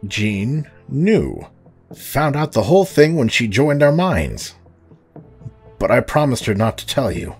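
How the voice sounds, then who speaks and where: Brief: male actor as an apologetic pirate father, low voice, lively pitch, audio drama. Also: husky, heavily acted. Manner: earnest, halting and apologetic. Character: remorseful middle-aged space pirate father.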